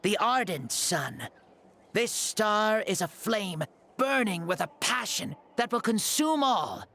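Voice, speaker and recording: A woman's voice: mid-range voice, big pitch swings, takes it slowly, fairly bright tone, full character voice. A woman voicing a boastful ancient warrior god in a young boy's body for a video game, with grandiose old-fashioned phrasing. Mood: grand, solemn.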